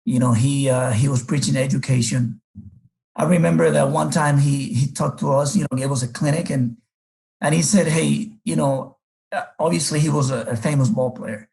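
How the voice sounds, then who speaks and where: A man's voice: medium pitch, steady tone, normal speed, vocal fry, darkish tone, poor audio. A middle-aged man from the Republic, a baseball coach telling his life story unhurriedly in an interview, with many fillers. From Dominican Republic.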